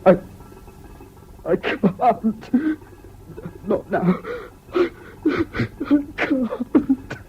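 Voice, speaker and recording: Brittle English military man, high swooping delivery, radio play on a dull, hissy old recording. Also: animated, very slow, long pauses, darkish tone, exaggerated voice. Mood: breaking down. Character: anguished, brittle English serviceman, glib then breaking down. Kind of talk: 1970s British radio drama acting.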